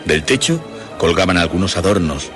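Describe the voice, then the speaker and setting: A man's voice: low-pitched, animated, moderate pace. A man narrating a scripted suspense story as its storyteller. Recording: music behind the voice. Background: music.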